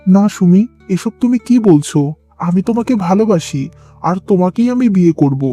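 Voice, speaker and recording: A man's voice: high voice, lively intonation, normal speed, mellow. A man voicing an earnest, pleading young son in love in a cartoon drama, over music. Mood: earnest, determined.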